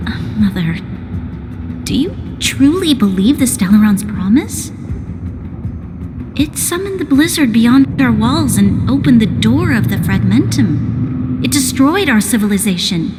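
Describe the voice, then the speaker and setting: A doubting, earnest young woman from a video game. She speaks formally in a highish voice, in a reverberant space with noise behind. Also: very animated, a little slow. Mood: uneasy, questioning. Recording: long reverb, clear background noise.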